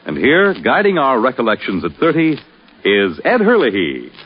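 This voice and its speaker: Highly animated American male radio announcer. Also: normal speed, breathy edge. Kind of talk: 1950s radio announcing, scripted. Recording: muffled vintage radio recording. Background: music.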